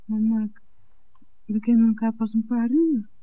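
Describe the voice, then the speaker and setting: An actress playing an innkeeper's daughter in a 1960s radio drama, hesitant and intimate. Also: female voice, on the high side, fairly even intonation, measured pace, lightly acted. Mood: hesitant, smitten. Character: innkeeper's daughter, hesitant but smitten woman. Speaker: actor in a radio play. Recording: phone-like, narrow old radio recording.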